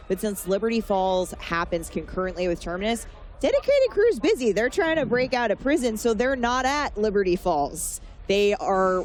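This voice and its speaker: A woman's voice: mid-range voice, a little mellow. A woman presenting a live gaming stream as host, conversational and upbeat. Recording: live stream with faint ambient noise.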